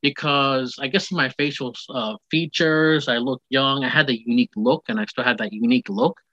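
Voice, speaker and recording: A man in his forties rambles cheerfully through his story over the phone. His voice is bright and forceful. The line is dry and a bit muffled. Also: mid-range voice, steady tone, moderate pace, dry recording. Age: 40s. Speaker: guest. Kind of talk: casual phone interview.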